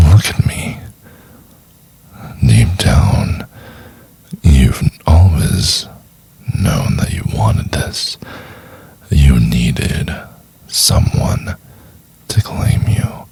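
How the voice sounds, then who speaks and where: A man playing an obsessive, possessive stalker lover in a boyfriend ASMR roleplay, murmuring in a breathy, gravelly whisper with coaxing, condescending tenderness. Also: a bass voice, full character voice. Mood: controlling, menacing. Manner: slow, deliberate, coaxing and condescending.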